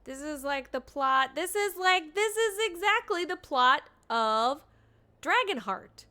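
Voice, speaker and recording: Bright, chatty woman streaming a game. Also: high voice, animated, slow pace. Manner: playful, chatty, reacting as she goes. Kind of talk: casual live game commentary.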